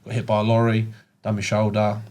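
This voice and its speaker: A man's voice: on the low side, monotone, brisk, a little mellow. A British man in a podcast studio, telling stories in a chatty, casual way. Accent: British English. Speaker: guest, project manager.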